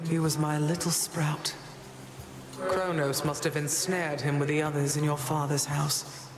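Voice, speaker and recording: An actress voicing a stately, grieving elder harvest goddess who speaks in a measured, formal way, in game dialogue. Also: female voice, deep voice, level tone, a crowd in the background. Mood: wistful. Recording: game dialogue with loud music and reverb.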